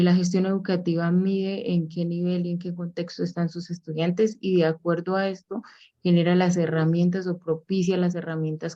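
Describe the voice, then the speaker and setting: Woman, lecture-style presentation, mellow and warm tone, measured delivery with frequent fillers, dull lo-fi sound. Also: fairly low pitch. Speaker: presenter of an education talk. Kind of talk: explanatory academic presentation. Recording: dull lo-fi recording.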